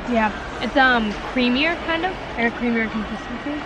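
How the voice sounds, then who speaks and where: A woman's voice: a little high, moderate pace. A teenage girl chatting playfully with her friend while they film their trip. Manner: playful, joking banter. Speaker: friend and co-vlogger. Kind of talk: casual chat. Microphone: friend's handheld phone. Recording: crowded noisy airport.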